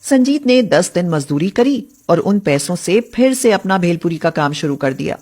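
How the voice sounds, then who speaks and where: A woman's voice: on the low side, animated, moderate pace, mellow. A woman telling a scripted story as its narrator.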